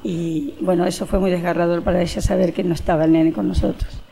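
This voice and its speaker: Mellow Argentine woman giving testimony, faint noise. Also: lowish voice, level tone, fast talker, gravelly. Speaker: interviewee, sister-in-law giving testimony. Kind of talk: spoken documentary testimony.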